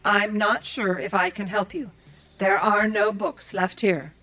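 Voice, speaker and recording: A woman's voice: medium pitch, steady tone, unhurried, fairly bright tone, natural voice. A woman playing a dutiful, earnest android librarian in an audio drama, speaking in formal, precise, procedural phrases. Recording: music behind the voice. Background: music.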